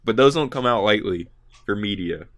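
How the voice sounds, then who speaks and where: A man's voice: lowish voice, animated, moderate pace, fairly bright tone. A man co-hosting a casual anime chat, bantering in a teasing, sarcastic way. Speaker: podcast co-host reviewing anime.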